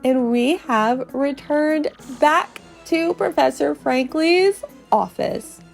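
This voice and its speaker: A woman hosting a game podcast, narrating play dramatically with drawn-out, descriptive phrasing and a sense of wonder. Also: high-pitched, creaky, soft background music. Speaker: podcast host playing a video game.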